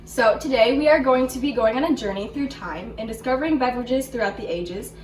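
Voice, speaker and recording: A woman's voice: a little high, a little fast. Young woman presenting, distant and echoey. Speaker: presenter and editor. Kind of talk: scripted video hosting. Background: background music.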